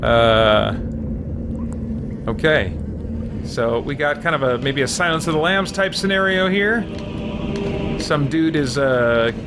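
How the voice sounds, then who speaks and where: A man's voice: fairly high pitch, slow, pauses often. A man live-streaming a video game, chatting casually with viewers and thinking aloud in a playful, informal way. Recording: clear background noise behind the voice.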